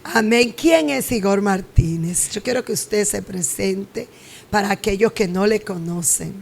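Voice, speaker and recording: Lady pastor interviewing, fry in her voice, kinda preachy. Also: medium pitch, measured pace. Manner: preachy, exhorting. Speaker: pastor and host.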